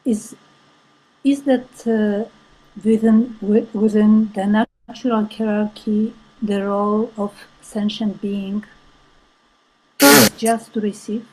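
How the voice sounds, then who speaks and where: A woman asking a question as a student on a video call, in a careful, halting way. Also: medium pitch, little pitch movement, darkish tone. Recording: noisy call with background ambience.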